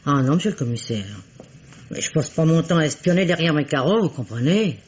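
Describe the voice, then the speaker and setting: An actress playing a nosy, talkative concierge in a French radio mystery, colloquial and quick to justify herself. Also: female voice, low voice, lively intonation, darkish tone, slightly rough. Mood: gossipy, prim. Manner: colloquial, gossipy, self-justifying. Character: nosy, gossipy female concierge, talkative and defensive. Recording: dull lo-fi radio recording.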